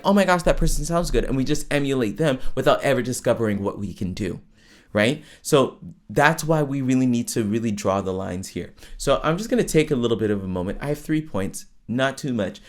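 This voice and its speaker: A man with a lower voice, hosting a podcast and explaining singing to listeners in a loose, chatty way, full of restarts and tag questions. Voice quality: lower voice with falsetto access. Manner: conversational, many fillers and restarts, frequent "right?". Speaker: podcast host and vocal coach. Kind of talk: casual teaching monologue.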